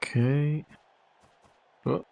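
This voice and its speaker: A man streaming a video game, chatting casually to viewers in a relaxed, rambling way full of fillers. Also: mid-range voice, very slow, creaky. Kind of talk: casual gameplay commentary.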